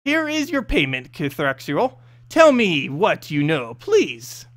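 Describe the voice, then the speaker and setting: A man's voice: on the high side, wildly swooping pitch, a little slow, fairly bright tone, no room sound, clean studio sound, pitched below the performer's own voice, heavily acted. A man voicing a shrewd, commanding sorceress in a fantasy story, curt and imperious. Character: shrewd, commanding young sorceress bargaining with demons.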